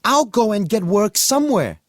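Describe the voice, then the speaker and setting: A man's voice: high-pitched, big pitch swings, normal speed, no echo, studio quality, pitched above the performer's own voice. Man doing an eager young farm boy. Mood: reassuring.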